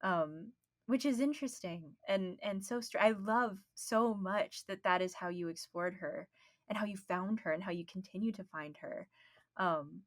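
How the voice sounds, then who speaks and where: A woman's voice: medium pitch, moderate pace. A woman hosting a podcast interview, talking casually and affirming her guest, with many "you know" and "right" fillers. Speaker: podcast host.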